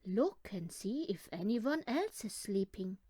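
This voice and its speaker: Storybook elderly neighbour lady, voiced by a female reader: kindly, inquisitive, slow and lilting. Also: medium pitch, very animated, mellow, husky, no echo, studio quality, full character voice. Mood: gently inquiring.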